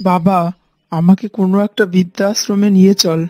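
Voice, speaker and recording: Elderly cartoon mother-in-law, acted by a woman, self-effacing, plain-spoken, mid pitch, faint music behind. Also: steady tone, normal speed, highs cut off, close to an everyday voice. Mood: resigned, hurt. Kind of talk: cartoon character dialogue.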